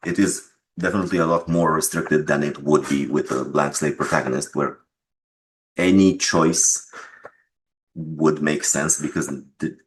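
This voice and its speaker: A man answering interview questions as a game developer and writer, explaining things in a measured way. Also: very deep voice, steady tone, a little hiss or hum, poor audio.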